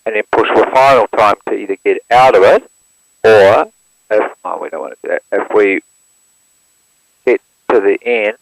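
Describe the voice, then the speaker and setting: A man, walking through how to operate an aircraft radio step by step in a plain, steady manner, recorded through an intercom headset. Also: fairly low pitch, normal speed, creaky, pauses often, no echo, poor audio. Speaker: instructor demonstrating an aircraft radio. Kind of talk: hands-on equipment walkthrough.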